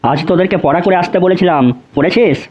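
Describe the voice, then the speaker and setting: A man's voice: high voice, fast, a little mellow. A man voicing a stern, threatening, irritable schoolteacher in a comedy cartoon, scolding.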